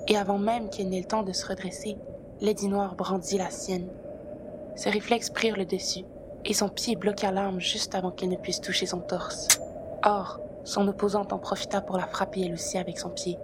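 A woman's voice: mid-range voice, noisy background. A woman reading her own written story aloud as a scripted narration. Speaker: author and narrator.

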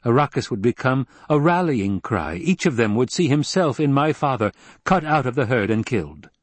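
A proud young nobleman with an imperious, forceful way of speaking, as a male narrator puts him on. The voice is lifted and louder than the narration, with a husky edge, close and dry. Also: medium pitch, expressive, normal speed, no room sound, pitched above the performer's own voice, lightly acted. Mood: commanding.

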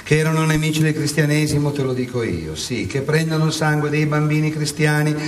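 An actor playing a relentless, sardonic prosecutor in a satirical mock trial, declaiming insistently on stage. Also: male voice, fairly high pitch, a little fast, reverberant, recorded from a distance, slight background noise, natural voice. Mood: accusing. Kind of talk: theatrical courtroom monologue in radio theatre.

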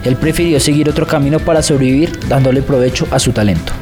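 A man's voice: medium pitch, steady tone, fast talker, over loud music. A man with a Colombian accent reading scripted narration for student radio.

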